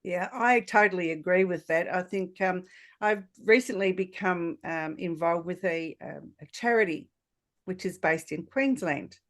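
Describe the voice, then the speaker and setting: A woman hosting a podcast, talking casually in a reflective, hesitant way with halting phrases. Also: on the low side. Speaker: podcast host. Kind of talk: casual interview conversation.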